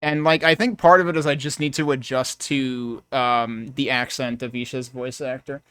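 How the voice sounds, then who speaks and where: A man's voice: mid-range voice, steady tone, moderate pace, fairly bright tone, clear, resonant tone, dry recording. A man giving casual, rambling playthrough commentary as he thinks aloud. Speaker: game streamer.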